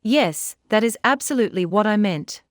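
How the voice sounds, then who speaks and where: A woman's voice: mid-range voice, lively intonation, normal speed, no room sound, clean studio sound. A woman co-hosting a children's storytime, speaking in a scripted discussion.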